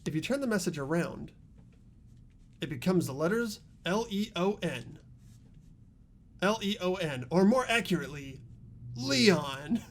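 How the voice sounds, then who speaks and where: A man's voice: high-pitched, lively intonation, measured pace, long pauses, slight background noise. A man voicing an earnest young male student who puzzles out clues in an amateur visual novel game dub. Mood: explaining.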